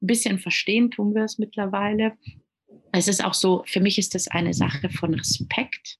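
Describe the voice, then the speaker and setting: Earnest woman with a husky voice. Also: mid-range voice, steady tone, normal speed. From Germany. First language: German and Italian. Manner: earnest, repeats words while searching for them. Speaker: interview guest. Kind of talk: casual conversational interview.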